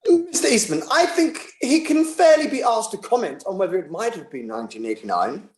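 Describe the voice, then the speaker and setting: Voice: mid-range voice, very animated, a little fast, roomy sound, natural voice. An actor playing a polite, authoritative judge chairing a public inquiry, in a table read of a courtroom play. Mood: measured, judicial.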